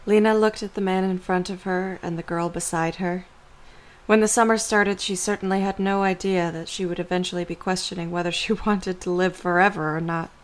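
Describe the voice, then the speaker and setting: Lower-pitched woman narrating a story. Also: fairly even intonation, brisk.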